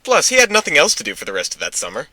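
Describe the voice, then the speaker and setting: Bright, lively man narrating a story. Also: a little high, very fast, no echo. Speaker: narrator in an amateur fan fiction reading. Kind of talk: dramatic reading with casual asides.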